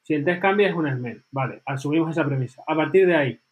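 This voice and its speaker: Casting note: man, fairly high voice, animated co-host who keeps checking in with the listener. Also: quick pace. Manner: animated, peppers speech with tag questions. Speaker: podcast co-host. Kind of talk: casual chat.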